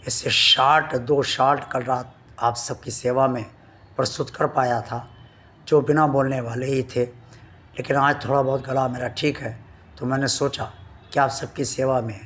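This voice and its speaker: Slow, earnest devotional man, slightly hoarse. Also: medium pitch, steady tone, fairly bright tone. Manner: slow, earnest and devout, addressing viewers formally. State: recovering from a sore throat, voice not at full strength. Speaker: devotional channel host. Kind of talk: devotional talk to viewers.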